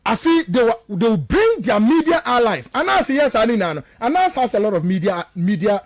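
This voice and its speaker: Ghanaian guy arguing politics on radio, swingy high pitch, really emphatic, repeats himself, mixes languages. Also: big pitch swings, normal speed, dry recording, clean studio sound. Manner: emphatic, repetitive, rhetorical. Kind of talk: animated political commentary mixing two languages.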